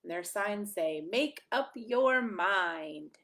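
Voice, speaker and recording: A woman with a medium-pitched voice reads a picture book to children. Her pitch swings widely as she leans into the rhymes in a sing-song way. She pauses for emphasis and adds small explanatory asides, like a pastor leading story time. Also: lively intonation, fairly bright tone.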